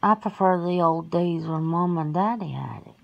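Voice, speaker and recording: A woman voicing a folksy, blunt, centuries-old werewolf homebody in an ASMR roleplay, suspicious and quick to exclaim. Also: fairly low pitch, vocal fry, highs cut off. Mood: indignant, sassy.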